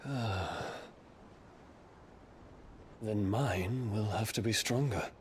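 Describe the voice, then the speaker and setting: Solemn fallen knight for a game; fairly low, very unhurried, formal. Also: male voice, a little hiss or hum. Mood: proud, resolute. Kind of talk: cinematic game voice acting.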